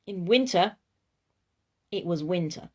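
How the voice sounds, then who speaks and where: Animated woman reading a children's story. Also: fairly low pitch, slow pace. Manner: playful, theatrical, addressing listeners directly.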